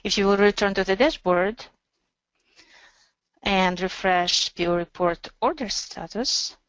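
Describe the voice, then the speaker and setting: A woman narrating an instructional software demo as a webinar presenter, explaining in a measured, step-by-step way. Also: mid-range voice, dry recording, lo-fi sound.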